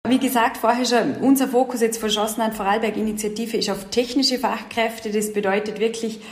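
A woman's voice: a little high, steady tone, brisk. Eager young woman in an echoey room. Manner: eager, leans on filler words while explaining. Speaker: event and project manager, interview guest. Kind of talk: casual interview talk. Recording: roomy echo.

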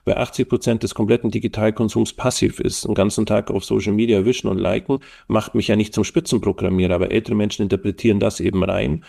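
A man's voice: lowish voice, steady tone, fast, gravelly, few pauses, warm tone. A middle-aged man from the Allgäu, a podcast guest explaining his research thoughtfully with frequent fillers. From Allgäu, Germany. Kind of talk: podcast interview.

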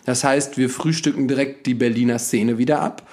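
A man's voice: mid-range voice, steady tone, fairly quick, darkish tone. A man hosting a podcast in casual chat, upbeat and joking, speaking colloquially. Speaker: podcast host.

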